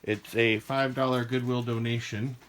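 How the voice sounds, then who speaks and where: A man hosting a podcast, casually walking through a small craft store and describing it, with relaxed, rambling talk full of fillers. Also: medium pitch, normal speed, a crowd in the background. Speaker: podcast host giving a store tour. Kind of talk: casual walkthrough narration.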